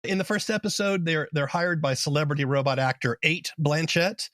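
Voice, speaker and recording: A man's voice: a little high, a little fast, fairly bright tone, dry recording, studio quality. A man hosting an interview podcast, speaking in an articulate, analytical way as he sums up and comments. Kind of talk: conversational interview with prepared summaries.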